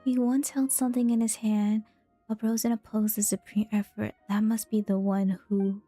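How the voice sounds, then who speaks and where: A woman's voice: mid-range voice, little pitch movement, moderate pace, mellow, soft background music. A woman giving casual, reactive game commentary in short murmured phrases to herself, at times reading text aloud. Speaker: game streamer.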